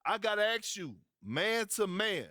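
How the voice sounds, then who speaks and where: A blunt sports TV pundit in a game, pressing hard and sounding openly skeptical. His voice is pitched unusually high for a man and sits close and dry. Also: sing-song, unhurried, pushed and bright, dry recording, exaggerated voice. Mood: probing. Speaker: sports talk show panelist. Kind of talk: video game cutscene voice acting.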